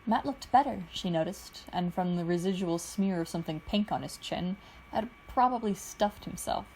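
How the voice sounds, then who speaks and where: A woman reading fan fiction aloud in a steady, even narrating manner. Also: fairly low pitch, normal speed, slight background noise, poor audio. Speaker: podfic reader.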